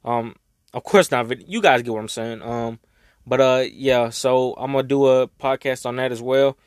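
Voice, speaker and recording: A young man talking casually and emotionally to his viewers, rambling and swearing, his voice raspy from crying. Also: mid-range voice, moderate pace, no echo, studio quality. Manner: rambling, swearing, heartfelt, Southern US slang. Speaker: vlogger. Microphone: own microphone.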